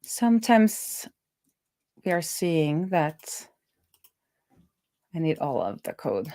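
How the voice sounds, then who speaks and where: A woman with a low voice talks through her code as she works, recorded dry with very little room sound. Her delivery is casual and halting, with sentences that trail off and get restarted as she reasons things out. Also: big pitch swings, dark tone, dry recording. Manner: halting, trailing off mid-thought and self-correcting. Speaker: developer hosting a live coding stream. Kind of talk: thinking aloud while coding, casual.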